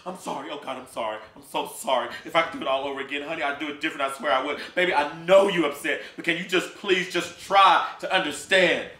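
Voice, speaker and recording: A man puts on a frantic middle-aged mother in a storybook, her voice pitched high and cracking as she pleads. The tone is bright and sharp, and the room around the reading rings with echo and a faint hiss. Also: quick pace, few pauses. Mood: desperate. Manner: high, pleading, breaking with distress.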